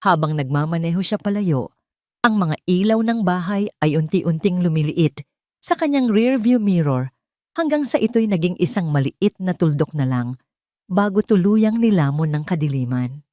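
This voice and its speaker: A woman's voice: on the low side, lively intonation, normal speed, mellow, no room sound, clean studio sound. A woman with a Filipino accent delivering a dramatic scripted story narration.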